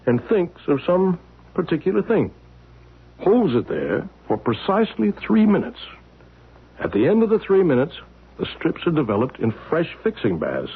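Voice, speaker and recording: A calm, mysterious man in an old radio drama, explaining patiently in a husky voice with lively pitch. The audio is narrow and phone-like, like an old broadcast. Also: exaggerated voice. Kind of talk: 1970s radio drama acting.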